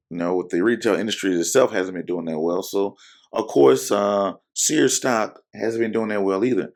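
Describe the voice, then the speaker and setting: A hoarse man with a low, gravelly voice talks casually to his viewers about stocks. He rambles, fills gaps with ums and uhs, and corrects himself as he goes. His throat sounds scratchy throughout. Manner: rambling, full of fillers and self-corrections. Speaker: investing channel host. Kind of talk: casual talk to camera.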